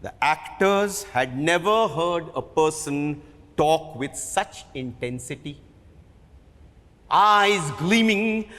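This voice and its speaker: A man with an Indian accent giving a dramatic reading of literary narration, with theatrical, deliberate phrasing. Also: high-pitched, a bit bright. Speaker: theatre performer reading from a book. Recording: reverberant hall.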